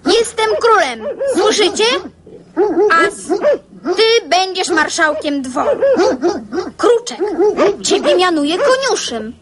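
A woman playing an imaginative, excitable little schoolboy in a radio drama, boastful and exclamatory. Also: very high-pitched, slow, long pauses, over a noisy crowd, exaggerated voice. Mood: proud playful. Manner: boastful, exclamatory make-believe. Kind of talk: Polish radio drama acting.

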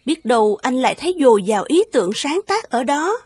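A woman voicing a gentle, caring young wife with a soothing manner in an animated fairy tale. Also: high voice, a little fast, breathy edge, no echo, heavily acted. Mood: encouraging.

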